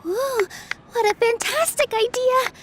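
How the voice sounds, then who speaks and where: A woman voicing a sweet, earnest young woman in a video game, gentle, polite and enthusiastic. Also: very high-pitched, measured pace, noisy background. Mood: delighted. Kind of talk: English video game voice acting.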